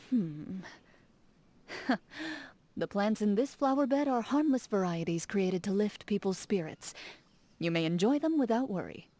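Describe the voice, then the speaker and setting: Woman voicing a calm reserved duelist from a game, mellow and measured. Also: mid-range voice, lightly acted. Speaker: game character. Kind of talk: game voice lines.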